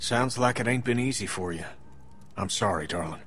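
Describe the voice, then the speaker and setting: A man's voice: fairly low pitch, expressive, moderate pace, a bit bright, soft background music. An actor playing a middle-aged male survivor in a video game, plain-spoken and folksy, with a Southern US accent. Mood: wistful, tender. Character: gruff, warm-hearted middle-aged male survivor, grieving but hopeful. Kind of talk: naturalistic video game voice acting.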